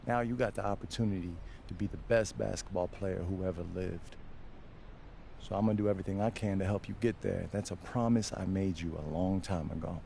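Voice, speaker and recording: A man's voice: low-pitched, steady tone, fairly quick, creaky, dark tone, a little hiss or hum. A man playing a proud, encouraging father and former athlete, with an American accent and colloquial delivery, in a video game drama. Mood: heartfelt. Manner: colloquial, heartfelt.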